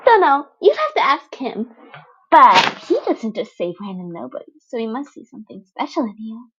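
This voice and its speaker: A giddy teenage villain girl, voiced by a woman narrator, bubbly and teasing, pitched higher in a storytelling style. She sounds gleefully cheerful and playful, on a muffled home recording. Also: wildly swooping pitch, normal speed, fairly bright tone, slightly rough, heavily acted. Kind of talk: fan fiction audio storytelling.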